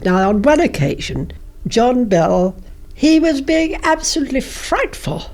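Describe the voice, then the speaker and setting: An older woman, a former politician, reminiscing in an interview in a measured, anecdotal way. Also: a little high, very animated, a little mellow.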